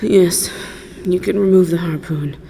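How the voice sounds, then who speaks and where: Slow, gravelly, world-weary dragon, acted by a woman. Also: lowish voice, mellow, a little hiss or hum. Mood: grudgingly grateful. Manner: measured, solemn, formal speech. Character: aloof, wounded, world-weary dragon, guarded yet wise. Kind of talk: slow dramatic roleplay audio monologue.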